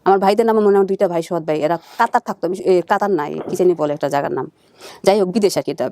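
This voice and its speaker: A woman with a Bangladeshi accent recounting personal life as a guest, halting and repeating herself as she goes. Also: medium pitch, dark tone, no room sound. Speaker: guest searching for birth family. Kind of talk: emotional personal recounting in conversation.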